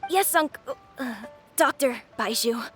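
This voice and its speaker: High-voiced, earnest little boy. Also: slow pace, a bit bright, faint music behind. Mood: earnest, hesitant. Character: polite, anxious little boy searching for his father. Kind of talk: English video game voice acting.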